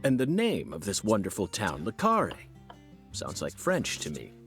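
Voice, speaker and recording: A cheerful, odd male FBI agent from a video game. He rambles warmly to an invisible partner, his pitch rising and dipping a lot. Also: huge pitch swings, normal speed, soft background music, exaggerated voice. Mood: amused. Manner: chatty, talks to an unseen companion. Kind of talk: game dialogue.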